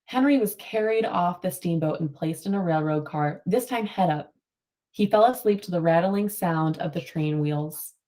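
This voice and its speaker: A woman's voice: lowish voice, moderate pace, dark tone. A woman teacher reading a picture book aloud to children and prompting them with questions, affirming their answers.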